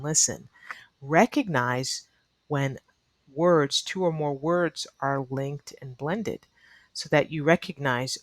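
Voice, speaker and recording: A woman's voice: sits low, no echo. A woman teaching an online English lesson in a neutral American accent, explaining earnestly to learners and repeating key advice for emphasis. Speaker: ESL teacher.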